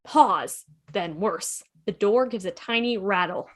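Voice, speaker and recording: A woman's voice: medium pitch, slow. A woman giving dramatic, punchy scripted narration at an amateur table read, voicing sound effects as plain words. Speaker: narrator reading stage directions.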